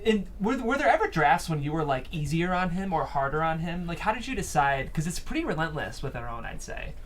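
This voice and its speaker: A man's voice: fairly high pitch, very animated, fairly quick, fairly bright tone. A man hosting a conversational interview in an earnest, articulate and appreciative way. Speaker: interview host. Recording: faint background noise.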